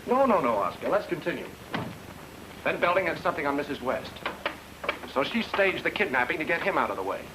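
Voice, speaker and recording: Wry radio detective, noisy recording. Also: male voice, fairly high pitch, very animated, fairly quick, pauses often. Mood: casual, shrewd. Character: married amateur sleuth man, wry and quick-witted. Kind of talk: old-time radio detective drama acting.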